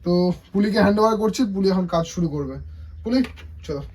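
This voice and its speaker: Man, high-pitched voice, Indian Bengali accent, casual tutorial presenter, vlog host. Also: brisk, darkish tone, a little hiss or hum.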